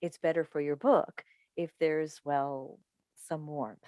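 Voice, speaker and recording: A woman's voice: sits low, steady tone, takes it slowly, mellow, no room sound. A woman in a staged play reading, playing a seasoned, composed talk show host and mother who tries to placate people. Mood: patient, diplomatic. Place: indoors on a Zoom call. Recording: dry video call recording.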